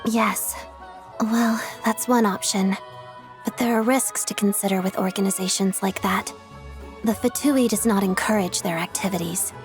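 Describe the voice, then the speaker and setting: An actress voicing a poised, principled young dancer and officer in a game dub, composed, polite and businesslike. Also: female voice, medium pitch, steady tone, normal speed, faint music behind, lightly acted. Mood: measured, resolute. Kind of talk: English game dub dialogue.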